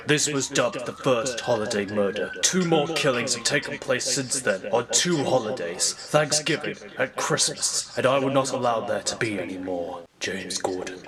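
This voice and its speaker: Male actor as grim masked vigilante detective, grave, deliberate, brooding, audio drama, clear murmuring bustle behind. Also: medium pitch, close to an everyday voice. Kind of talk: dramatic first-person narration.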